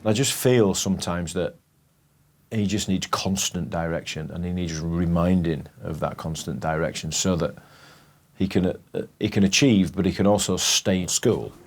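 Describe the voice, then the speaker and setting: A middle-aged man with a Yorkshire accent, a head teacher speaking firmly and plainly about his school and pupils. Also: sits low. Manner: firm, plain-spoken, measured. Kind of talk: documentary interview and school talk.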